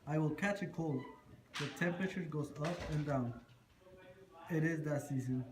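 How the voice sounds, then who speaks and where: Man playing a wily freeloader, higher-pitched male voice. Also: moderate pace, close to an everyday voice. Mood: demanding. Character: wily, freeloading male traveler speaking in rhymes. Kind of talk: amateur rhyming puppet show dialogue. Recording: roomy live show with audience noise.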